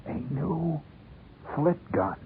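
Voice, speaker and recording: A man plays a conspiratorial little schoolboy in a radio tale, pitched up high and hushed. He lets his secrets out in hissing, halting bursts. The sound is a thin, tinny old broadcast. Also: lively intonation, creaky, full character voice. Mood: secretive, smug. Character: conspiratorial young schoolboy sharing secret gift plans.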